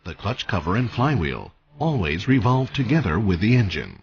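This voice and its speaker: A man reading scripted instructional narration in a measured, formal way as the narrator of a technical training video. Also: on the low side, very animated, highs cut off, slight background noise.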